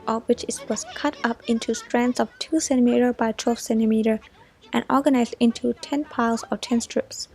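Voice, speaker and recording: A woman's voice: fairly high pitch, darkish tone, husky. A young female student gives a scripted explanatory narration for a school project. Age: teens to young adult. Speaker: student presenter. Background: background music.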